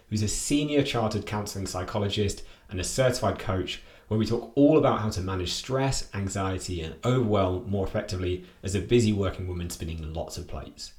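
Male podcast host, low voice, chatty questions, echoey room. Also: a little fast, few pauses, darkish tone. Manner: loose and chatty in questions, with repeated "kind of" and "like". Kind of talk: scripted intro, then interview. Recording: roomy echo.

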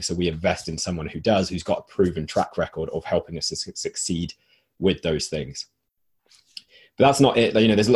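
A man co-hosting a podcast in a relaxed, explanatory way, his voice husky and strained as he loses it. He sits a touch low in pitch and leans on simple analogies to make his points. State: losing his voice. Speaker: podcast co-host. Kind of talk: casual podcast discussion.

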